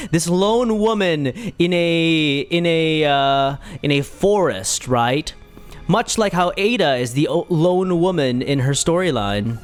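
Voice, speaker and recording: High-voiced man chatting through a game. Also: animated, slow, soft background music. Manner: playful, rambling, reacts to the game. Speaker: streamer playing a video game. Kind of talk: casual playthrough commentary.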